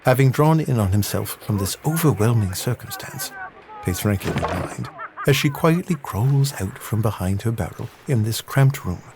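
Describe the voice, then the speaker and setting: A man's voice: medium pitch, animated, normal speed, background noise. A man giving scripted, dramatic narration of an adventure story.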